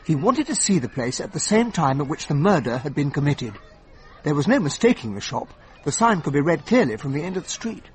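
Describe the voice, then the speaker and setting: A man playing an earnest, loyal English gentleman who narrates and acts as a detective's companion in a radio drama, with a British accent. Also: a little high, very animated, fairly quick, slight background noise, rough recording. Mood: reflective narration.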